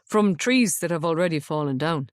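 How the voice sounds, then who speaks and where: A woman voicing a gentle young man, kind and grieving, speaking softly. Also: sits low, animated, normal speed, dry recording, studio quality, pitched below the performer's own voice. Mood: relaxed, chatty.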